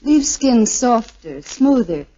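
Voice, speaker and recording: A glamorous film star in a scripted 1940s radio ad. Her voice is fairly high and very mellow, on a muffled recording. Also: very animated, slow pace, exaggerated voice. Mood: demonstrative. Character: glamorous film star giving beauty testimonial.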